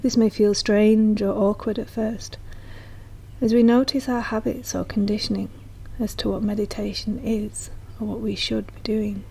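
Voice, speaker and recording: A woman narrating a guided meditation in a soft, slow, soothing manner, as a guide speaking directly to the listener. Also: mid-range voice, flat delivery, gravelly, slightly rough, low-quality recording.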